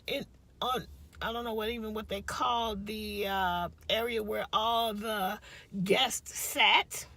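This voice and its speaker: A woman vlogs from the driver's seat of a parked car, her voice bright and a touch high. She rambles cheerfully and laughs easily. A leftover cough lingers in her speech. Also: gravelly, slightly rough. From Chicago, US Midwest. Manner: chatty, rambling, laughs often. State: slight cough, recovering voice. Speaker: craft vlogger. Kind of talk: casual chat to camera.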